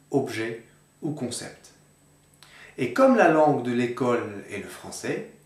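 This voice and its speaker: Casting: middle-aged male presenter, mid-pitched voice, didactic and measured, explanatory monologue recorded in an echoey room. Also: recorded from a distance. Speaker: video presenter on regional language. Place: small bare indoor room.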